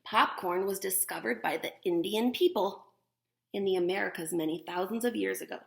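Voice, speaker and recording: A woman's voice: mid-range voice, moderate pace, creaky. A woman teacher reading a picture book aloud to young children, expressively and with simple explanations. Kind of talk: animated picture-book read-aloud with demonstration asides.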